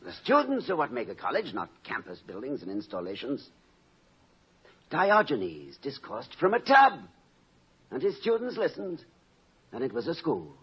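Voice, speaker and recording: An actor playing an erudite, wry, fond middle-aged college president in a 1950s radio comedy, speaking in eloquent, dryly witty sentences. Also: male voice, mid-range voice, wildly swooping pitch, normal speed, long pauses, husky, full character voice. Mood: self-reproachful. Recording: muffled old radio recording with noise.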